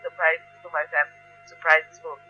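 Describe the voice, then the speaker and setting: A woman's voice: sits low, little pitch movement, brisk, music in the background, rough recording. A South African woman speaking as an interview guest, conversational and emphatic, repeating phrases to drive her points home. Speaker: guest, playwright and academic.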